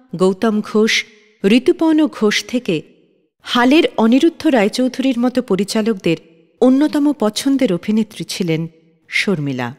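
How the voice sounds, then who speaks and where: A woman hosting a radio show, reading scripted narration and introducing songs in a measured announcer's manner. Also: fairly high pitch, in a large hall.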